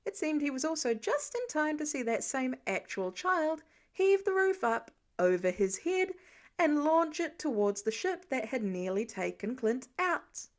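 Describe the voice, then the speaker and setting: A woman with a high-pitched voice narrates a piece of fiction. She reads the scripted prose expressively, with a wry storytelling touch. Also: sing-song, measured pace. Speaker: amateur audiobook narrator.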